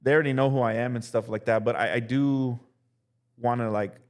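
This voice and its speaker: A young male teacher telling casual stories as a podcast guest, relaxed and full of "like" and "dude". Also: mid-range voice, fairly quick. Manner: relaxed, heavy use of "like" and "dude". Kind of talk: casual storytelling chat.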